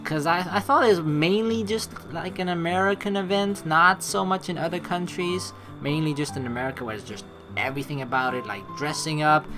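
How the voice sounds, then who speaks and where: A young man from the Netherlands reading a game aloud and chatting casually, crude and joking, with swearing. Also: on the high side. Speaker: let's play streamer. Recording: music behind the voice. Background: video game music.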